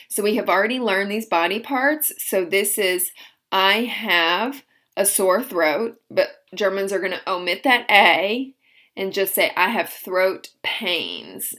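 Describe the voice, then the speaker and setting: A woman gives a recorded language lesson, sounding out each phrase slowly and clearly before she explains it. Her voice is bright and her pace is unhurried. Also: mid-range voice. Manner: slow, deliberate modeling of phrases with explanations. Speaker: German teacher.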